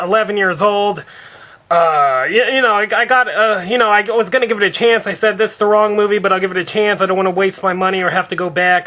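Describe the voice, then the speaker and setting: A man acts out an indignant, devout father in a prank phone complaint. His voice sits high with a bright, sharp edge. He stammers, stalls and circles back over his grievances. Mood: disgusted, halting. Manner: halting, stammering, repetitive. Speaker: prank caller.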